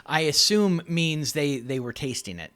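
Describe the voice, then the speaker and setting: A man co-hosting a casual podcast, talking in halting bursts with many restarts and dry jokes. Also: fairly high pitch, expressive, a bit bright, clear, resonant tone. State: recovering from recent surgery. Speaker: podcast co-host. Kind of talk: casual chat.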